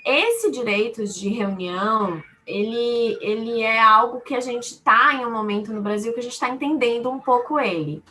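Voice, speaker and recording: Bright, higher-voiced Brazilian woman from Salvador. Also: lively intonation, moderate pace, few pauses, slight background noise. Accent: Brazilian, Salvador (Bahia). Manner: didactic, explains with everyday examples and repeated phrasing. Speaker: panel speaker, law firm lawyer. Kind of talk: explanatory webinar talk, unscripted.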